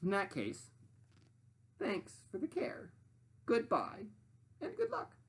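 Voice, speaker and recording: A woman voicing a bossy, gloating little storybook witch with a crowing, drawn-out, commanding delivery. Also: medium pitch, huge pitch swings, breathy edge, voice pushed up, heavily acted. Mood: smug.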